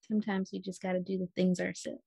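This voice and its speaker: A woman's voice: on the low side, little pitch movement, fast talker, creaky, dark tone, breathy edge, no echo. A woman hosting a history podcast and interviewing a guest. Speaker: podcast host.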